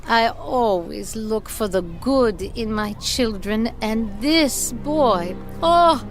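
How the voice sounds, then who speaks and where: A worried caring schoolteacher in an audio drama, highish voice that moves around a lot, earnest and deliberate. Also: female voice, animated. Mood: earnest pleading. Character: concerned, earnest schoolteacher woman, measured and caring. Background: background music.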